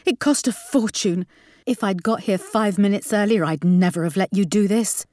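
A woman voicing an outraged, scolding middle-aged mother in an audiobook, shrill and dramatic. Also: normal speed, warm tone, dry recording, studio quality, close to an everyday voice. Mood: indignant.

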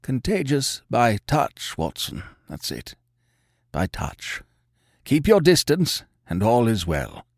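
A man voicing a gravely ill, masterful detective in a croaking, gasping, spasmodic voice. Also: medium pitch, wildly swooping pitch, slow pace, no room sound, studio quality, full character voice. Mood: feeble, feverish. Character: gravely ill, imperious male detective, feeble yet masterful.

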